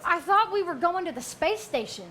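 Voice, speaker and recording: A woman's voice: extremely high pitch, lively intonation, moderate pace, pushed and bright, heavily acted. A woman playing grumpy, sarcastic male starship officers in a comedic staged reading. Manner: sarcastic, exasperated. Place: live theater staged reading. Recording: live stage recording with clear background noise.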